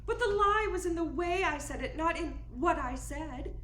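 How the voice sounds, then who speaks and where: Woman acting high-pitched, pleading femme fatale. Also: lively intonation, moderate pace, fairly bright tone. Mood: guarded. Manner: throbbing, pleading, hesitant. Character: pleading, secretive young femme fatale, breathlessly helpless. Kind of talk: noir radio play acting. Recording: roomy echo, clear background noise.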